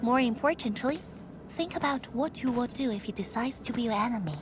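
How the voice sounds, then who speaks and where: A woman's voice: fairly high pitch, fairly quick, noisy background, close to an everyday voice. A woman playing a brusque, exasperated game heroine who scolds while hiding her concern. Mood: dismissive. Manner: haughty, exasperated, sighing. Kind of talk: video game voice acting.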